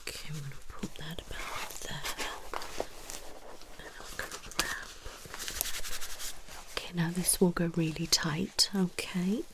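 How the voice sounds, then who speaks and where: A woman whispering softly and reassuringly as a doctor in an ASMR medical roleplay, slow and gentle. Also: on the low side, steady tone, low-quality recording. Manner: soft, reassuring, unhurried whisper.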